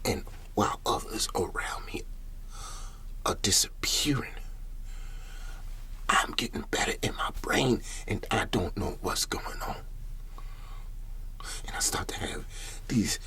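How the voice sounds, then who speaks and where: A man playing a paranoid, troubled older Southern farmer in an ASMR roleplay, whispering haltingly and repeating his words as he rambles. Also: lowish voice, animated, pushed and bright, full character voice. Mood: uneasy, confiding. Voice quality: breathy whisper.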